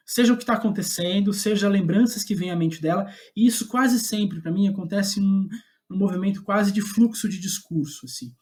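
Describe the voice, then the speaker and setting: A man with a Brazilian Portuguese accent, speaking as a guest playwright in a reflective interview, halting as he thinks aloud and often checking for agreement. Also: high-pitched. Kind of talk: reflective interview talk about own writing.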